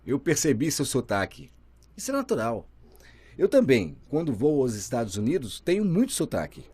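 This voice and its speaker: A man's voice: mid-range voice, very animated, moderate pace, warm tone, breathy edge, no echo. A man voicing an interview host's signed questions in a conversational, inquisitive way. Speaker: voice interpreter for the host.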